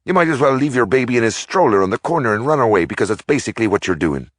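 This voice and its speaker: A man's voice: medium pitch, sing-song, very fast, no echo, voice pushed up. A man reading aloud, voicing an old Irish priest grandfather who lectures in an Irish brogue. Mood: lecturing. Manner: lecturing, earnest.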